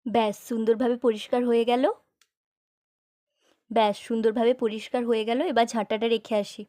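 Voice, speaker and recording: A caring elder sister doll from a children's doll story, voiced by a woman. Her voice is high, and she talks in a homely, chatty way, gently giving instructions as she runs the household. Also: flat delivery, fairly quick, lightly acted. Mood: brisk, homely. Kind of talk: doll-play storytelling dialogue.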